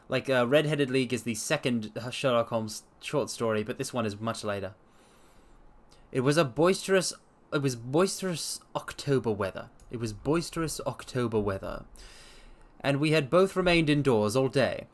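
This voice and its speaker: A man streaming a dramatic story reading, with theatrical, expressive delivery and casual asides. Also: mid-range voice. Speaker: streamer and reader.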